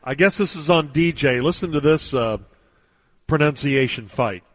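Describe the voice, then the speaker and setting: A man's voice: a little high, moderate pace. A man hosting a sports radio show, chatting casually with an easygoing, chuckling manner.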